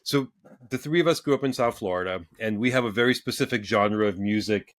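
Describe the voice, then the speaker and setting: A man in his forties hosting a casual podcast interview, talking in halting bursts with many restarts and repeated words. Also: fairly low pitch. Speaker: podcast host.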